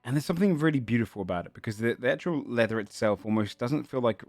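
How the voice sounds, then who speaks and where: A man's voice: mid-range voice, animated, fast, no echo, soft background music. A man running a tabletop game as game master, describing items and scenes while searching for words.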